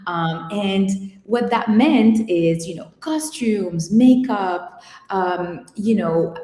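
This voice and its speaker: A woman from Morocco, a guest image consultant, answering interview questions in a measured, reflective way with frequent pauses. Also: medium pitch, mellow. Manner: measured and reflective, pausing often. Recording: echoey room with long reverb.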